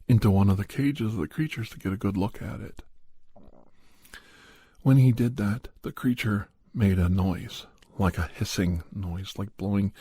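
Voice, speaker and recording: A man with a mellow, husky voice tells an eerie first-person story in ASMR style. He speaks softly in short, unhurried phrases with casual asides. The sound is very dry and close. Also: on the low side, no room sound. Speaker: podcast host and storyteller.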